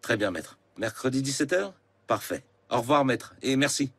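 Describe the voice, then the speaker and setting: A man voicing a preoccupied, puzzled middle-aged father in an animated cartoon, with a fussy, expressive delivery. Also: medium pitch, moderate pace, close to an everyday voice. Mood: decided, polite.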